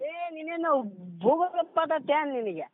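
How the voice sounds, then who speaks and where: A man's voice: very high voice, animated, normal speed. An irritated man with a North Karnataka Kannada accent, arguing bluntly and protesting over the telephone. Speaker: prank call recipient. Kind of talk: heated phone argument. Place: on a phone call. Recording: tinny phone line with noise.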